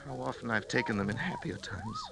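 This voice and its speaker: An actor playing a doomed, remorseful scientist giving a grave, intense confession in 1940s radio drama style. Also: male voice, mid-range voice, huge pitch swings, fairly quick, gravelly, background noise. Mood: dread, resigned. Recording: vintage 1940s radio broadcast with music.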